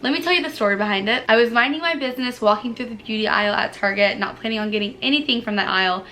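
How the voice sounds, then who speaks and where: A young woman vlogger chatting bubbly and casually through a makeup tutorial, her voice a little hoarse from allergies, with lots of "like" fillers. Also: on the high side, very fast, bright, forceful tone, slight background noise. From United States. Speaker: beauty vlogger.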